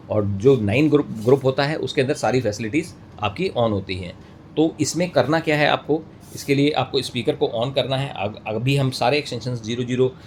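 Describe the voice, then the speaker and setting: An Indian man presenting a step-by-step technical tutorial, mixing English terms into his speech and often rephrasing as he explains. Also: mid-range voice. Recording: clear background noise, room ambience.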